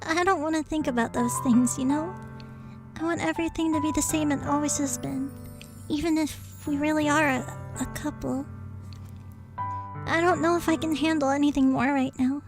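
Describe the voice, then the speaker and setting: A woman's voice: high-pitched, fairly even intonation, moderate pace, long pauses, over music. A woman voicing a sweet, fragile anime schoolgirl from a visual novel, hesitant and tearful in her delivery. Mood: uncertain, fragile. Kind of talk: visual novel character read aloud.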